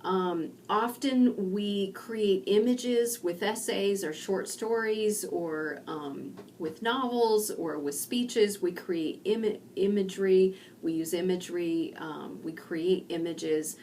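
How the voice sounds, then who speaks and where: A middle-aged woman from rural Indiana giving a recorded online lecture from her home study, explaining slowly with pauses. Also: mid-range voice, a little mellow, recorded from a distance, a little hiss or hum. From rural Indiana, USA. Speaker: university lecturer.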